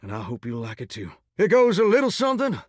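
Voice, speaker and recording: A man's voice: high-pitched, wildly swooping pitch, fast, darkish tone, no echo, clean studio sound, full character voice. A man voicing a flirty, dramatic living shower who dreams of singing stardom, with a folksy, effusive Southern American accent, in a video game. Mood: excited, hopeful. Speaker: dateable character in a video game. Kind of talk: animated video game voice acting.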